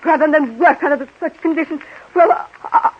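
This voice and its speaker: An actress plays a temperamental female European screen star with a continental accent and a high voice. She is imperious and self-pitying, with dramatic pauses, in 1930s radio comedy style. The old broadcast recording is dull, with faint noise. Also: natural voice. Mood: aggrieved, melodramatic.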